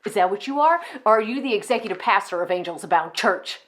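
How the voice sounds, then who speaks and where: A woman's voice: medium pitch, wildly swooping pitch, slow, a bit bright, exaggerated voice. A woman playing a narcissistic, contemptuous female megachurch founder in a ranting, mocking dramatic monologue. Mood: pleading, menacing. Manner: ranting, mocking, swinging from scorn to outburst. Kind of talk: dramatic solo monologue acting.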